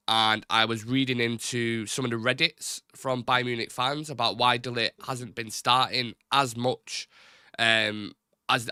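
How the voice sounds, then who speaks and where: A young man talks through a football live stream in a loose, rambling way. His nose is blocked and his voice comes out nasal, with a bright, forward tone. The recording is dry and close, with no room sound. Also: mid-range voice, dry recording. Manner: rambling, chatty, informal. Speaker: football channel host. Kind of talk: casual live-stream chat.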